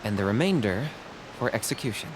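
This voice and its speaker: An actor voicing a businesslike man for hire in a video game, speaking in a deliberate, matter-of-fact way. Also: on the low side, expressive, very noisy, natural voice. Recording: loud music over the voice. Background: loud music.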